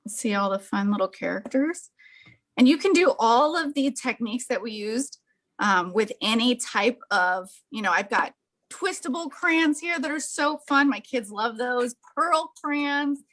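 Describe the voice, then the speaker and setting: A woman's voice: fairly high pitch, expressive, measured pace, a bit bright. An enthusiastic, gushing woman giving a casual, hands-on art demonstration.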